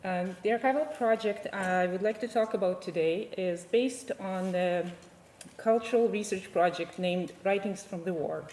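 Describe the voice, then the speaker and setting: A woman's voice: medium pitch, normal speed, a little mellow, roomy sound. A woman from Ukraine, a native Ukrainian speaker, giving a conference presentation as a digital archivist, speaking hesitantly with many fillers and restarts.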